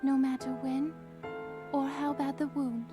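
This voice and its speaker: A tender young princess devoted to the hero, speaking with gentle warmth in a game cutscene. Her voice sits high and sweet. Loud score plays beneath her. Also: level tone, a little slow, music in the background. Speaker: game character.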